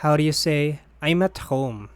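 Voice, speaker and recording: A teacher reading short phrases for learners, saying each one deliberately and repeating it. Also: medium pitch, no room sound, clean studio sound. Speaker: language teacher.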